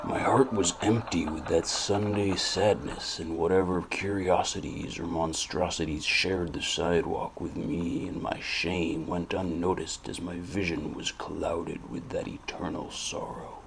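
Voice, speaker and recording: Over clear background noise comes a man acting as a noir private eye with a very low voice. He is gloomy and world-weary, delivering hard-boiled narration and dry retorts in a brooding deadpan. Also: a little slow, few pauses.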